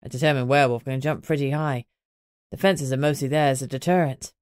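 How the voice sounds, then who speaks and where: A woman voicing a grim, protective male werewolf in an audiobook, steady and matter-of-fact. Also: extremely low pitch, fairly quick, dry recording, studio quality, pitched below the performer's own voice.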